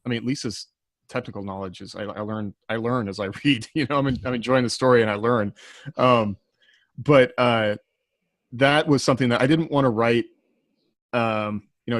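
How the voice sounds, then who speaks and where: A man's voice: lowish voice, dry recording, clean studio sound. A man chatting casually on a panel in a reflective, somewhat tentative way. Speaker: writer on a panel.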